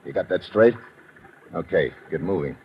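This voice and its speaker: A man's voice: low-pitched, animated, normal speed. A man playing a tough, weary American adventurer in an old radio drama, clipped and slangy as he narrates and gives orders. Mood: stern, commanding. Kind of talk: old-time radio adventure acting, hard-boiled narration. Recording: dull vintage radio recording, music behind.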